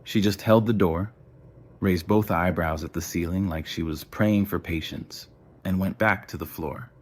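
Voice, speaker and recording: Male narrator, deep pitch, scripted fiction storytelling.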